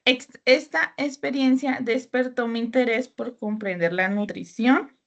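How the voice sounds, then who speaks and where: A woman student reading her own written text aloud in a slow, careful way during an online class. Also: a little high. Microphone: video call. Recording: dull lo-fi video call audio.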